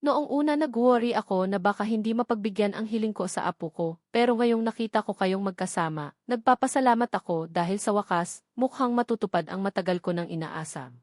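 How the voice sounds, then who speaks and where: A woman's voice: mid-range voice, a little fast, a little mellow, no room sound, clean studio sound, lightly acted. A woman with a Filipino accent voicing a kindly old grandfather who owns a farm, in an audiobook. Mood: grateful, fond.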